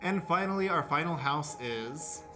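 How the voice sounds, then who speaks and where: A man's voice: a little high, expressive, normal speed, over loud music. A man hosting and introducing an improvised radio play as its stage manager.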